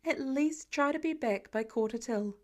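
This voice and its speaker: A woman voicing a patient, gently firm adoptive mother in a story reading, with measured delivery. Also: sits high, natural voice. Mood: patient, wry.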